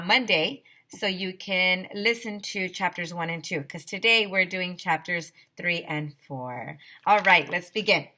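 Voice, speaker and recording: A woman teacher reading a children's book aloud in an expressive, emphatic storytelling manner. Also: medium pitch.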